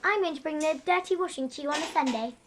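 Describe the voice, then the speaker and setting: A woman's voice: high voice, fast, fairly bright tone, a little hiss or hum. A woman with an English accent playing a cheeky, starry-eyed young evacuee girl in a period radio drama, blunt and precocious. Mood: chirpy, curious.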